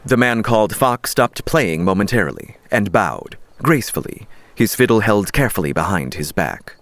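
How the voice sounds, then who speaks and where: A man narrating a fiction audiobook in a measured, dramatic storytelling style. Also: on the low side, expressive, fairly bright tone, no echo.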